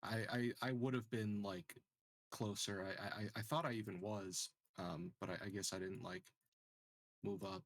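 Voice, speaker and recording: A man chatting casually as a game player, halting and often repeating himself as he thinks aloud. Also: lowish voice, fairly even intonation, no echo. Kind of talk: casual chat during a game.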